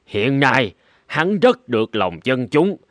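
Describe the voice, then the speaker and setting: A man's voice: mid-range voice, very animated, slow pace, fairly bright tone, dry recording. A man playing a spiteful, scheming town official in a cartoon folk tale. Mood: sly, obsequious.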